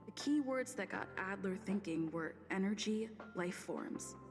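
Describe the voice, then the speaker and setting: An actress playing a thoughtful, analytical investigator in a video game. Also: female voice, medium pitch, expressive, measured pace, music in the background, close to an everyday voice. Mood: pensive.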